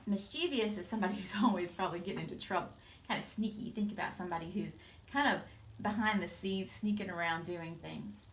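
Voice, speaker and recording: A woman teacher reading a storybook aloud to children, expressive and instructive, pausing to explain things simply. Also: mid-range voice, low-quality recording.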